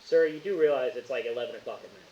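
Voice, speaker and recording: A man playing a helpful, puzzled police officer on a phone call in an improvised tabletop game, in an everyday halting voice. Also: mid-range voice, far from the mic, natural voice. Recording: echoey room, muffled, hissy.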